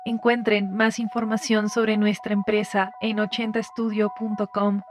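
A woman reading scripted podcast announcements and credits in a measured announcer's manner. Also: medium pitch. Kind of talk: scripted narration. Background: music.

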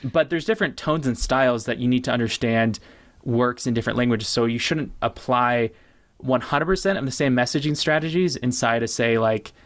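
A man being interviewed as a guest on a podcast, speaking casually and thoughtfully, repeating words and slowing down to stress key points. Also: mid-range voice, a bit bright. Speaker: podcast guest, branding expert.

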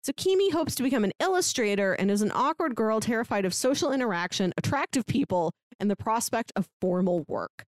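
A woman's voice: on the high side, animated, a little fast, hardly any pauses, dry recording. A woman hosting a podcast in a casual, chatty style. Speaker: podcast host.

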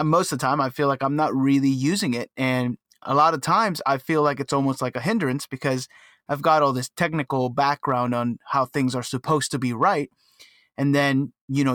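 A man's voice: mid-range voice, fairly bright tone, dry recording. Easygoing Cuban-born man, dry sound. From Cuba. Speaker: co-host. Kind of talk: casual podcast chat.

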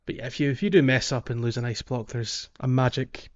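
Man, middling pitch, dull lo-fi sound, halting delivery, casual gaming podcast chat. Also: fairly even intonation. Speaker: podcast co-host. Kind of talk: casual video game discussion.

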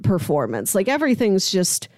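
A woman talks casually as a podcast co-host, animated and opinionated. Her pitch moves around in a lively way and her sentences are sprinkled with "like." The sound is dry, with little room in it. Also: moderate pace, dry recording. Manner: animated, opinionated, peppers talk with "like". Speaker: podcast co-host reviewing a TV drama. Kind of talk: casual chat.

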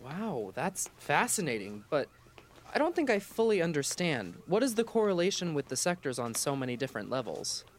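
Man playing an eager, lively young scientist. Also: fairly high pitch, normal speed, a little hiss or hum. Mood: awed, curious. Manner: polite, awestruck, hesitant. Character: eager, nervous young male scientist, new on the job. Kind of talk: audio drama acting.

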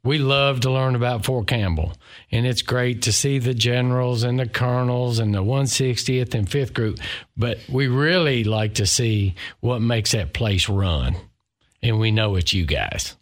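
A man's voice: mid-range voice, measured pace. A man co-hosting a podcast, upbeat and asking guests questions in casual chat. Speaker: podcast co-host.

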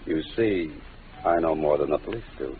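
A deep-voiced hard-boiled detective. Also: male voice, animated, moderate pace, a little hiss or hum. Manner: dry, clipped, confident. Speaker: lead of a radio mystery. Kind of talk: 1940s radio acting. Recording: tinny old radio broadcast with music.

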